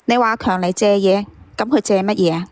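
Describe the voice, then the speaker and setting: A woman's voice: medium pitch, brisk. A woman questioning callers on a live show, casual but insistent, repeating her questions over noisy audio.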